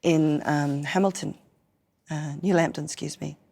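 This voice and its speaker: Croaky Australian woman, playful storyteller. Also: sits low, steady tone, a little slow, darkish tone. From Newcastle, Australia. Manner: playful, theatrical storyteller with frequent laughs. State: hoarse, croaky after a gig while sick. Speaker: guest performer. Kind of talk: casual interview chat.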